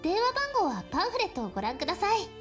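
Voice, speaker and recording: A woman's voice: extremely high pitch, huge pitch swings, racing, exaggerated voice. A woman voicing a polite, girlish heroine in an anime visual novel, with a light delivery. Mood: polite, cheerful. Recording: game audio with music behind. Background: game music.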